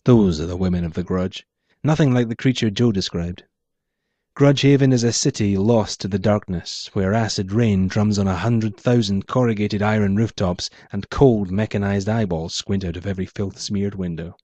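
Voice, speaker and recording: A man's voice: lowish voice, normal speed, dark tone, no room sound, highs cut off. A man, the author, reading his own first-person noir story aloud in a hardboiled manner.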